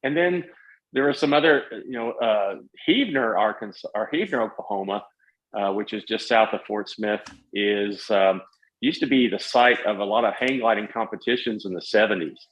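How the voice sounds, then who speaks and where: A middle-aged man, a guest pilot in an interview, explaining things in a halting, conversational way. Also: fairly low pitch, a bit bright. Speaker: guest, paraglider pilot and retired air traffic controller. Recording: dull, lo-fi voice audio.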